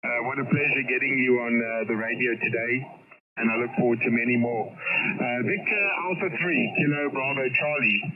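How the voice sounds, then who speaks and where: A muffled radio signal with heavy babble behind it carries a man's voice. He is an amateur radio operator in casual conversation, laying out his thoughts reflectively and haltingly. His pitch sits in the middle for a man. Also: a crowd in the background. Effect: shortwave radio transmission.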